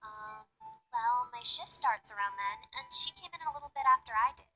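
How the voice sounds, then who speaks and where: Very bright, high-voiced young shop girl. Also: animated, moderate pace, soft background music, low-quality recording. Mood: casual, thoughtful. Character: friendly young shop girl, aspiring singer, easygoing. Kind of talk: visual novel voice acting.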